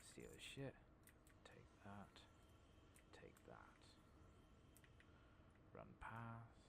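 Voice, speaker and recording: Deep, gravelly, soft-spoken gaming man. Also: huge pitch swings, very slow. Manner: murmured, drifting in and out of whispers; casual, sweary, muttering to himself. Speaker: gaming streamer. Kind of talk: soft-spoken ASMR game commentary. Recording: clearly noisy background with clicking.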